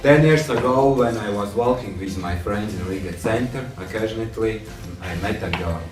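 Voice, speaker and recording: Very deep-voiced man. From Latvia. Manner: halting, simple phrasing. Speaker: interviewee. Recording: echoey room, distant mic, faint music.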